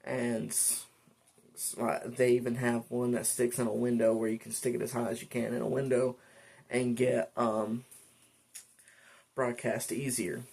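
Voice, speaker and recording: Male vlogger, creaky mid-pitched voice, informal and halting, talking through features one step at a time. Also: level tone, a little mellow. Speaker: vlogger reviewing a weather radio. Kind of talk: casual product demonstration, unscripted. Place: indoors at a desk.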